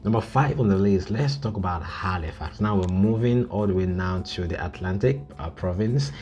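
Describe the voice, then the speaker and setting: A man presenting an informal informational list video, speaking haltingly with frequent filler phrases. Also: low voice, animated, darkish tone, muffled recording, soft background music. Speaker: YouTube presenter.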